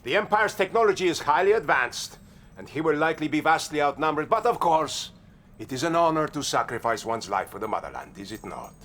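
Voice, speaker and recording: An actor playing a proud, scornful Soviet general in a video game cutscene, haughty and sarcastic, with a Russian accent. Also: male voice, fairly high pitch, very animated, a little fast, a bit bright, a little hiss or hum. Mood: sarcastic disdain.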